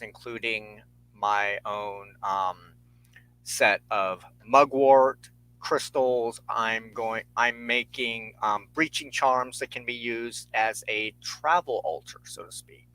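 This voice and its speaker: A man hosting a solo spirituality show, lecturing on folklore in an earnest, measured way, partly reading from notes. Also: mid-range voice, a bit bright, poor audio. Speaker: host of a spirituality talk show.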